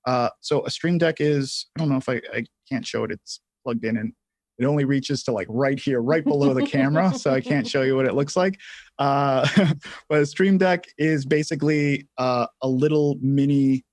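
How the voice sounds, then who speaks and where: A man hosting a casual live software tutorial, thinking aloud in a halting way as he explains things step by step. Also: medium pitch, no room sound, studio quality. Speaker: livestream host and software instructor.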